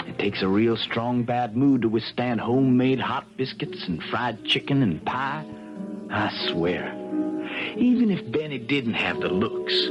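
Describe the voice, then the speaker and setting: An actor playing a wry grown-up Southern storyteller, narrating a radio mystery drama in the first person. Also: male voice, mid-range voice, natural voice. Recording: dull old radio recording.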